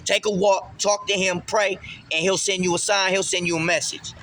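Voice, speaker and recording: A man's voice: high-pitched, steady tone, moderate pace, fairly bright tone, slight background noise. A man speaking emphatically in street slang in a casual spiritual vlog monologue, often repeating phrases for emphasis. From Puerto Rican heritage. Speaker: vlogger.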